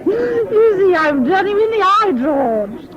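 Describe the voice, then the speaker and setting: An actress playing a rich, imperious, mocking wife with an English accent, bossy and arch, in a British radio drama. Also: female voice, extremely high pitch, very animated, takes it slowly, full character voice. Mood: tipsy, teasing. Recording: dull old radio recording with crowd sounds.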